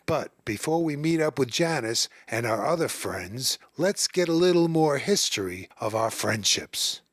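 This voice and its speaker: A man's voice: medium pitch, animated, a little slow, dry recording. A man host narrating a home-video travel story in a chatty, homespun voice-over. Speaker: show host.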